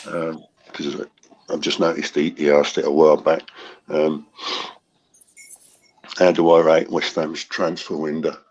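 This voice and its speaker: A man's voice: a bass voice, little pitch movement, a little slow, long pauses, darkish tone, dull audio. A man in a casual football chat, hesitant with many fillers, calling people mate. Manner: hesitant, lots of ums, calls people mate.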